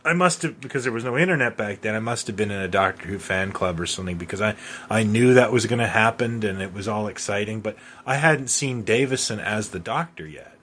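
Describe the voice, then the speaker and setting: A man's voice: lowish voice, expressive, brisk, a bit bright, a little hiss or hum. A middle-aged man co-hosting a podcast, reminiscing in casual conversation. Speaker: podcast co-host.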